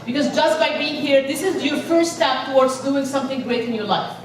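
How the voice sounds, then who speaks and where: Woman motivational speaker from Egypt, high and energetic, miked from a distance in a big echoing hall. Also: fairly quick, a little hiss or hum. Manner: energetic, rallies the crowd with questions. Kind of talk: inspirational speech to a live audience. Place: large event hall. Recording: echoey hall, voice from far off.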